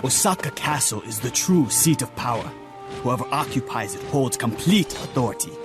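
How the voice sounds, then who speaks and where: Proud young samurai general in a game cutscene played by a man: mid-pitched, formal and solemn, with the firm authority of a loyal commander. Music plays prominently behind him. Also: expressive, moderate pace, natural voice. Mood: respectful, resolute. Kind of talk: dramatic game cutscene acting.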